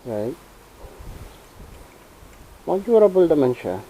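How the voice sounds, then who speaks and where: A man's voice: a little high, very animated, slow pace, dark tone, slight background noise, poor audio. A Filipino man giving a casual, unscripted gear review as a motovlogger, informally mixing in English. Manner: informal, switches between Tagalog and English.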